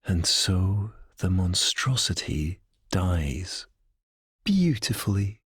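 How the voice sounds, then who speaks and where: An actor playing a cold, obsessive, grandiose male villain in a video game, with theatrical, menacing phrasing and a breathy, gravelly voice. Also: low-pitched, expressive, slow, a bit bright, no room sound, clean studio sound, full character voice. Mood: contemptuous. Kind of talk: dramatic video game voice acting.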